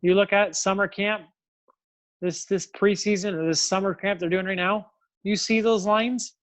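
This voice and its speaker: A man's voice: high voice, fairly even intonation, moderate pace, fairly bright tone. Rambling, easygoing man in his thirties. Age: 30s. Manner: easygoing, rambling, self-amused. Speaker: podcast co-host. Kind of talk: casual chat.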